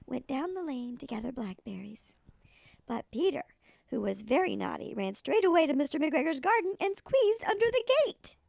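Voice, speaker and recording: Tiny elderly granny storyteller, played by a woman: high-pitched, very gravelly, expressive picture-book read. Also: no echo, heavily acted. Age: older. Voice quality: creaky, gravelly. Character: tiny granny, a little old lady storyteller. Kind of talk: expressive children's storybook reading.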